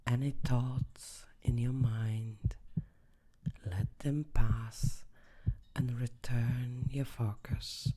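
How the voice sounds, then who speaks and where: A meditation guide speaking softly and soothingly in short, measured phrases, often dropping close to a whisper. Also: mid-range voice, level tone, husky.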